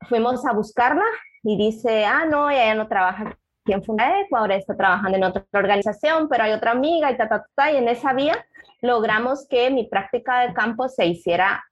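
A woman's voice: on the high side, moderate pace, lo-fi sound. A woman from Guatemala with a Guatemalan accent, a panel guest telling her story unhurriedly with many fillers. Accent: Guatemalan Spanish.

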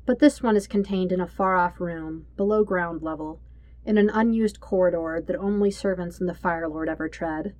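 A woman with a fairly low voice narrates a story from a script. Her delivery is measured and solemn, and the recording is close and dry, with little room sound. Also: a little mellow, no room sound. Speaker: fan fiction narrator.

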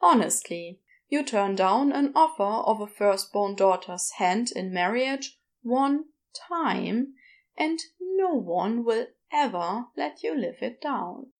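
A woman narrating scripted fiction in a measured, wry storytelling manner. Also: on the high side, expressive.

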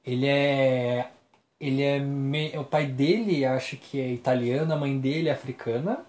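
Brazilian male podcast co-host, casual and slangy, restarts his sentences, mid-pitched voice, roomy echo. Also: moderate pace, darkish tone. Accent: Brazilian Portuguese. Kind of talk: casual chat.

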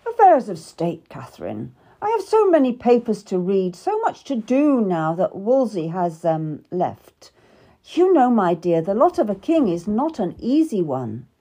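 A woman's voice: medium pitch, huge pitch swings, a little slow, breathy edge, full character voice. Woman doing an imperious, angry king. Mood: dismissive. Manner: loud, curt and scolding. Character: imperious angry middle-aged king, impatient. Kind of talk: audiobook character reading.

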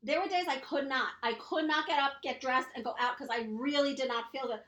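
A woman's voice: high voice, fairly quick, pushed and bright, reverberant. A woman co-hosting a podcast, talking emphatically while getting over a cold and coughing. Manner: emphatic, motherly advice-giving. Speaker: podcast co-host. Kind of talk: casual chat.

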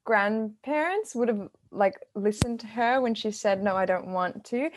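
A woman's voice: medium pitch, normal speed, slight background noise. A young woman interviewing, whose first language is Sinhalese, reacting with effusive enthusiasm in casual conversation.

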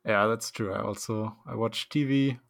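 A man hosting a casual podcast, relaxed and laughing often, with loose, filler-heavy phrasing. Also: lowish voice, moderate pace, creaky, dry recording, studio quality. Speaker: podcast host.

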